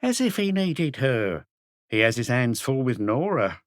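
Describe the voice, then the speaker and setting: A man's voice: mid-range voice, big pitch swings, measured pace, dry recording, clean studio sound, voice pushed up. A man voicing a plump, gossipy middle-aged woman who runs a café, in an audiobook reading. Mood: gossiping, scornful. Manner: chattering, scornful, indignant.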